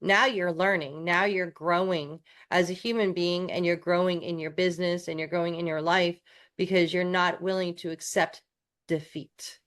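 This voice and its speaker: A woman from New York co-hosts a coaching podcast in a relaxed, candid chat. Her voice sits somewhat low, and she often restarts a thought or pokes fun at herself. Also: normal speed.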